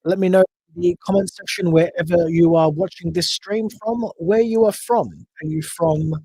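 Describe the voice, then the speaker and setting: A man's voice: sits high, steady tone, moderate pace, warm tone, breathy edge, no echo, poor audio. An Australian man hosting a solo podcast livestream, chatting casually and rambling with frequent self-corrections. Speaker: podcast host.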